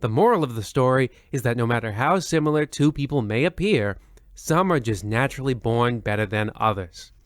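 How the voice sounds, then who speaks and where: A man's voice: mid-range voice, brisk, no room sound, close to an everyday voice. Man acting a dry-recorded, pompous radio host. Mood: smug, deadpan. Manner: pompous, deadpan moralizing. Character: smug, mock-solemn male radio anthology host. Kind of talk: scripted old-time radio narration.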